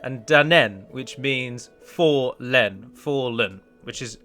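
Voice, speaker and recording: A man with a bright, forward voice chats through a gaming let's play in a casual, self-deprecating way. His pitch sits in the middle range. He is close on the microphone, with music playing behind him. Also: slow pace. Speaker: gaming video host and mod developer.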